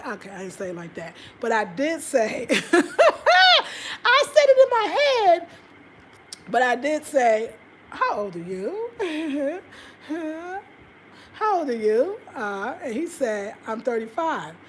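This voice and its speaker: American lady in her 50s, high voice, expressive casual vlog chat, bit of ambient noise behind her. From United States. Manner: animated, expressive, conversational. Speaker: vlogger. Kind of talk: casual livestream chat, storytelling to viewers.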